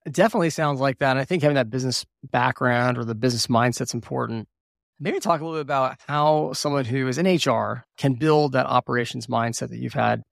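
A man hosting an interview podcast, encouraging his guest and asking quick follow-up questions. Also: medium pitch, gravelly, no echo, clean studio sound. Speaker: podcast host.

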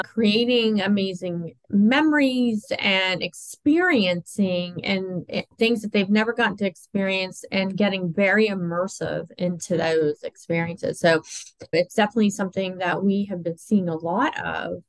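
A woman's voice: mid-range voice, no room sound, low-quality recording. Agreeable woman co-host, dry close sound. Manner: agreeable, thoughtful, with pauses and fillers. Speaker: podcast co-host. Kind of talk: casual chat.

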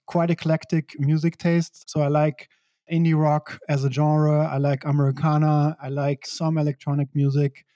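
A middle-aged man from Belgium, speaking as an interview guest in a reflective, measured way with occasional laughs. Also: fairly high pitch, warm tone, no echo, clean studio sound. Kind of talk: conversational interview.

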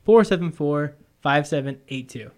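Guy hosting a podcast with callers, chatty quiz stuff, slightly high voice. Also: expressive, normal speed, a little mellow. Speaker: podcast host taking call-ins. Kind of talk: casual chat and quiz hosting.

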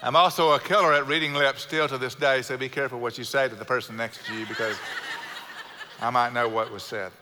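A middle-aged man with a South Houston Texan accent, preaching a sermon in an earnest way, slowing down to stress key words and adding light self-deprecating humor. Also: mid-range voice, fairly even intonation, pushed and bright, a little hiss or hum. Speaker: pastor. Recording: long hall reverb from a church sanctuary.

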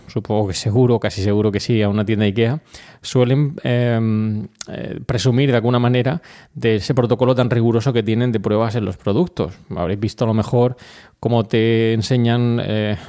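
A man's voice: mid-range voice, mellow. A man with an accent from Spain hosting an informal solo podcast, explaining things and speaking directly to his listeners. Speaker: podcast host.

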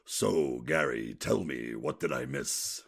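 Man playing gruff world-weary guy in audio drama, super low and raspy, blunt and fed up. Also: expressive, takes it slowly, exaggerated voice. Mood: dry, impatient. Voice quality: gravelly, raspy. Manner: blunt, sardonic, exasperated.

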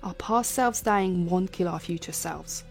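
A woman's voice: lowish voice, measured pace, vocal fry, faint music behind. A woman playing a cold, commanding scientist in a sci-fi audio drama, speaking formally and imperiously. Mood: impatient. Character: cold, commanding female scientist leading a time-travel mission.

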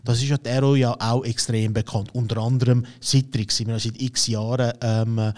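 A man's voice: mid-range voice, little pitch movement, normal speed, darkish tone. A man with a Swiss German accent hosting a business conversation, asking questions with frequent filler sounds. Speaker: host.

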